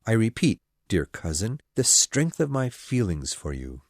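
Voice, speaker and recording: A woman putting on a low, pompous male suitor. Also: sing-song, a little mellow, no room sound, clean studio sound, exaggerated voice. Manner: pompous, smug, slow and insistent. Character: pompous self-satisfied young male clergyman suitor. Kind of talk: storybook character voice.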